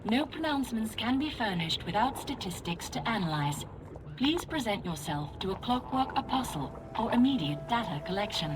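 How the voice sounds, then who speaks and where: A woman's voice: a little high, big pitch swings, moderate pace, noisy background. A woman voicing a female clockwork oracle automaton in a video game, detached and formulaic, speaking in clipped announcements. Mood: detached, analytical. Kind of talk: scripted game dialogue. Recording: loud music behind. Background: loud game music.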